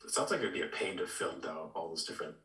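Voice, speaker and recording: A man co-hosting a podcast, giving opinions in casual chat with halting, repeated words. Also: sits low, animated, bright, forceful tone. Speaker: podcast co-host. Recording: echoey room, distant mic.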